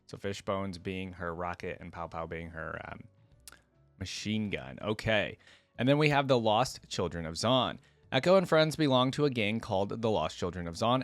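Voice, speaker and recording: A man's voice: on the low side, no room sound. A man hosting a reaction video, reading game lore aloud and dropping in casual, informal opinions of his own.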